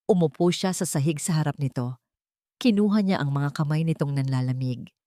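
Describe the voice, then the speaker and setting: A woman with a low voice narrates a dramatic audiobook. Her phrasing is solemn and deliberate, and the sound is dry, with no room echo. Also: dark tone. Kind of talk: scripted dramatic story narration.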